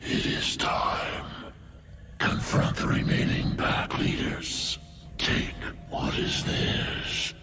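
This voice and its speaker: A man voicing an ancient, ominous alien creature in a video game, grave and portentous, with a rasping, processed voice. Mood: grave, urging. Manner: slow, grave, portentous pronouncements. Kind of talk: video game cutscene acting. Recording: loud music behind.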